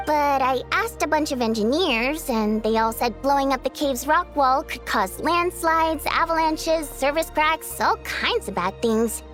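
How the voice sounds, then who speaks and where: A woman's voice: sits high, unhurried, few pauses, a bit bright. An actress playing a playful, coy female water nymph in a video game, with a sassy, theatrical delivery. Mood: breezy, resigned. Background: game music.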